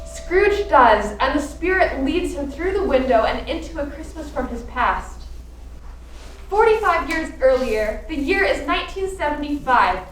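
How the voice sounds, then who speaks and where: A woman's voice: high voice, expressive, unhurried, a bit bright. A woman narrating a scripted radio play as the storyteller of a ghostly tale. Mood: suspenseful storytelling. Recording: echoey, distant stage recording, faint noise.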